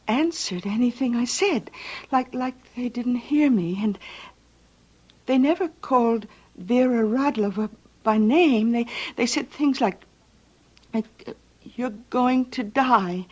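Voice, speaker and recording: Female for a frail, stammering convalescent: high voice, muffled old radio-play recording. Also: a little mellow. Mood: earnest, frail. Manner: halting, stammering. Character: weak, hesitant young female secretary recovering from poisoning. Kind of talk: old-time radio drama acting.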